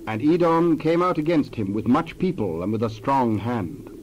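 A man reading scripture aloud as narrator, measured and reverent. His pitch sits mid-range. A faint hiss runs underneath. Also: expressive, a little mellow, lo-fi sound.

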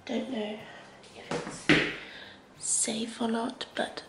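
A woman's voice: a little high, level tone, extremely slow, noisy background. A young Australian woman chatting casually to her camera at home, with a stuffy, blocked nose, rambling and hesitant with lots of fillers. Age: young adult. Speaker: vlogger. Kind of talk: casual vlog chat to camera. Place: living room of a house, indoors. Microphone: handheld camera at arm's length.

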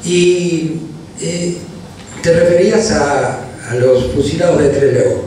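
A man's voice: on the high side, slow, dark tone, recorded from a distance, slight background noise. A man with an Argentine accent telling an anecdote on a panel in a university hall. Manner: storytelling, anecdotal. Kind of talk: panel discussion. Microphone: handheld mic, far camera. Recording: roomy hall from afar.

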